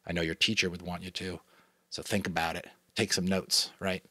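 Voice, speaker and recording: A man with a New England American accent giving an expressive dramatic reading of a novel, with chatty asides to listeners. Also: low-pitched, moderate pace, dry recording. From New England, United States. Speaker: audiobook reader.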